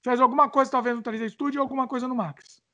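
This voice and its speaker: A chatty woman with a Brazilian accent who hosts the talk and reads viewer questions aloud. She often stumbles over words and laughs them off. Her voice sits high. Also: fairly even intonation, fast talker, sharp tone, dull audio. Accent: Brazilian Portuguese. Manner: chatty, stumbles over reading, jokes about her age. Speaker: host reading viewer questions. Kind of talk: casual chat.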